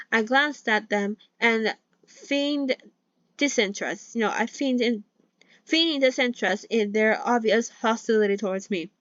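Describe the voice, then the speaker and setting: A woman's voice: a little high, fairly bright tone, no room sound. Brief: a woman, non-native English, hosting a chatty novel read-along; trips over words and restarts, on a dull lo-fi mic. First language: not English. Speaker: channel host reading a novel aloud.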